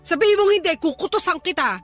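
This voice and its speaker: An exasperated young mother in a radio drama. Her voice is extremely high and piercingly bright, scolding and sarcastic, with music playing underneath. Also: expressive, fast talker. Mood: accusing, sarcastic. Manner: nagging, sarcastic. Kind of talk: Tagalog radio drama acting. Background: background music.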